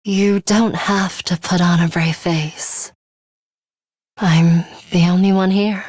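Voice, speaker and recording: A woman voicing a stern, composed young student fighter in a video game, speaking in a measured, serious way. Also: on the low side, steady tone, darkish tone, no echo, clean studio sound. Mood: cool, reserved. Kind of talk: English video game character voice lines.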